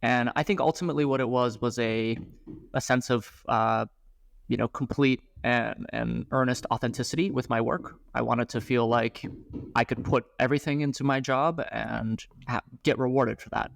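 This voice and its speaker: A man in his thirties, a startup founder, talking about his work in a casual podcast interview, halting, with frequent restarts and fillers. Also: medium pitch, fairly even intonation, creaky, dry recording. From grew up abroad, moved to the US at eleven.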